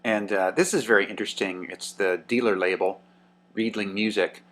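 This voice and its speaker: A man casually narrating a show-and-tell about a vintage radio he restored, relaxed and pausing often with "uh" and "um". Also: lowish voice. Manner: relaxed, with frequent "uh" and "um".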